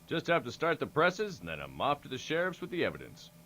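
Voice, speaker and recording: A lively small-town newspaperman. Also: a little high, quick pace, full character voice. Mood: teasing. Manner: chatty, teasing, secretive about his story. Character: excitable small-town newspaperman, adult male. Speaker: game character.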